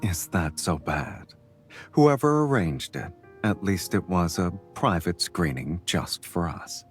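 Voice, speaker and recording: A man playing a suave, devoted lover who teases gently and intimately in a romantic audio drama. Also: lively intonation, slow, soft background music. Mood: tender, teasing. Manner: low-key, teasing, intimate. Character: suave, teasing, devoted adult male lover.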